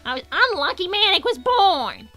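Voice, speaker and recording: A woman's voice: very high voice, very animated, normal speed, bright, forceful tone, no echo, faint music behind, full character voice. A woman voicing a bratty, defensive young female hedgehog, indignant and sassy, in improvised livestream comedy. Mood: resentful. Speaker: character host reading viewer messages.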